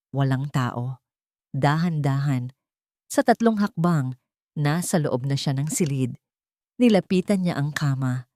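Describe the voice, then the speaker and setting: A woman's voice: deep voice, no echo, clean studio sound. A woman narrating a scripted dramatic story as an audio drama.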